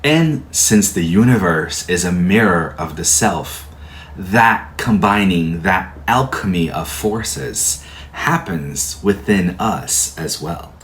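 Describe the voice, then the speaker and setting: Deep, unhurried male tarot teacher, Portuguese first language, faint background noise. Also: a bit bright. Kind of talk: calm explanatory lecture.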